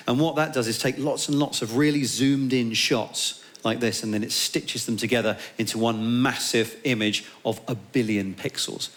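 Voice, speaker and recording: A man presenting live on stage, addressing the audience directly in an animated way. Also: medium pitch. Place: theatre stage.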